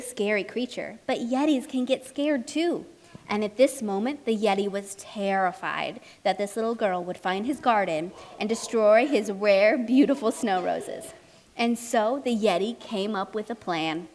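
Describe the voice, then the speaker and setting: A woman's voice: mid-range voice, normal speed, a little mellow, natural voice. A woman playing a chatty, anxious storybook narrator, speaking expressively and emphatically in a children's puppet show. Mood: storytelling.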